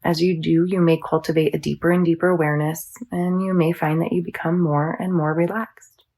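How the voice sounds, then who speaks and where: Husky, creaky woman guiding meditation. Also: deep voice, little pitch movement, mellow. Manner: soothing, measured, instructional. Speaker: yoga nidra teacher. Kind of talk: guided meditation.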